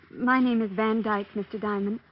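Well-bred young heiress played by a woman, high voice, composed and polite, for a muffled vintage radio mystery. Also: fairly even intonation, unhurried, a little hiss or hum, lightly acted. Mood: concerned, poised. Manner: polite, poised, precise. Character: refined, composed wealthy young society woman client. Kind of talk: 1950s radio drama acting. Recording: muffled old radio recording.

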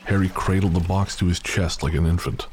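Deep-voiced somber male narrator. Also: fairly even intonation, a little hiss or hum. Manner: measured, somber storytelling. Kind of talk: scripted narration.

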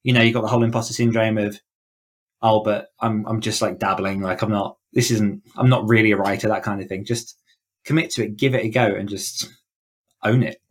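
A man's voice: fairly low pitch, fairly even intonation, brisk. A man speaking as an author coach in a conversational podcast interview, explaining informally with plenty of "like" and "you know". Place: indoors, in a room at home, online call.

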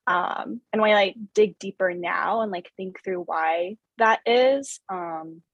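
A woman's voice: medium pitch, takes it slowly, creaky, dry recording, muffled recording. An American woman in her thirties from Southern California, speaking reflectively as an interview guest, with many fillers and restarts. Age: 30s. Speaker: guest author. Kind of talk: conversational interview.

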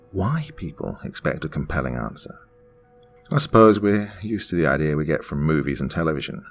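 Male narrator in his forties, deep rough voice with a lively lilt, slow reflective read. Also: slight background noise. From Pennsylvania. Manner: measured, reflective storytelling. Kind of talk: scripted first-person narration.

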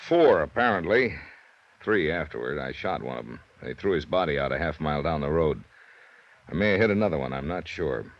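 Male tired American highway lieutenant, radio drama, very low pitch, matter-of-fact, dull vintage sound. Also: expressive, a little fast. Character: weary, plainspoken male highway police lieutenant. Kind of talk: 1950s radio drama acting. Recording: dull 1950s radio recording.

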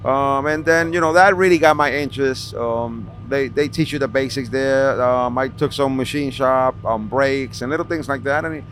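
A middle-aged man from New City, reminiscing casually and haltingly as a podcast guest. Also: medium pitch, fairly bright tone. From New York City, Washington Heights. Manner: halting, repeats words. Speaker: podcast guest, veteran street racer. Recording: clear background noise of racing event. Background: vehicle and event noise.

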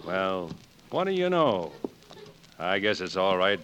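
A man's voice: low voice, big pitch swings, slow, fairly bright tone, clean tone, muffled recording, exaggerated voice. A man playing a cool young outlaw cowboy in 1940s radio acting, laconic and understated, with an American accent. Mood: cool, resigned. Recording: noisy old 1940s radio recording.

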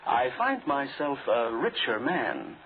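Polite hired killer, tinny old radio. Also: male voice, medium pitch, sing-song, takes it slowly. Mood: coolly defiant. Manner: understated, formal, smugly courteous. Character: cool, polite, double-crossing hired killer. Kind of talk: 1940s radio drama acting. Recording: tinny, noisy old 1940s radio recording.

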